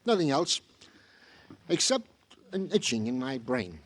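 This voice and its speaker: An actor playing a chatty, fussy police sergeant with florid, mock-poetic phrasing in a 1950s radio crime drama. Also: male voice, medium pitch, very animated, measured pace. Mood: musing.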